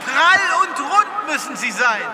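A man's voice: very high-pitched, sing-song, slow, over a noisy crowd, heavy background noise, full character voice. A man playing an earnest, heroic space veterinarian in a comedic sci-fi radio play, declaiming theatrically with grand pathos. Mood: rousing. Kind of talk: comedic sci-fi audio drama acting. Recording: long echoing hall reverb.

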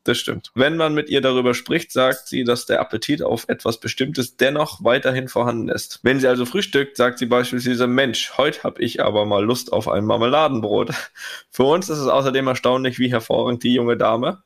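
Gravelly man co-hosting a chatty podcast. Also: medium pitch, fairly quick. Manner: relaxed, teasing, laughs easily. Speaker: podcast co-host. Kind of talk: casual chat, reading out listener mails.

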